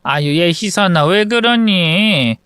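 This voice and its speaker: A man voicing an older woman, a soft-spoken, doting mother-in-law. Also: high-pitched, expressive, slow pace, clean tone, no echo, studio quality, heavily acted.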